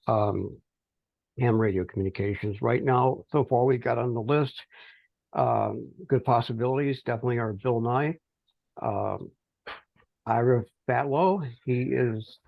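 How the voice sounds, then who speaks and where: A middle-aged man giving an informal progress report on a teleconference, speaking haltingly with pauses mid-phrase. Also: medium pitch, vocal fry, no echo. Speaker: amateur radio project volunteer. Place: remote teleconference call. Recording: dull, band-limited teleconference audio.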